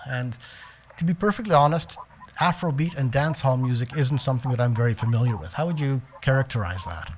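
Measured male radio interviewer. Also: medium pitch, lively intonation, a little hiss or hum. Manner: measured questions with hesitant "uh" pauses. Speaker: radio show host interviewer.

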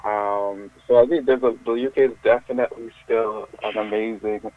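A man's voice: on the low side, flat delivery, normal speed. A man from Jamaica, a musician guest, chatting loosely in an interview and filling with lots of "like" and restarts. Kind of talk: casual interview chat. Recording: tinny dry phone line.

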